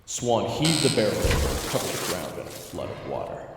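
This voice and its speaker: A man's voice: deep voice, very animated, brisk, gravelly, heavy background noise. A man narrating a fantasy audio roleplay as a dramatic, scripted second-person story. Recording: very echoey, long cavernous reverb. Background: game-style background music.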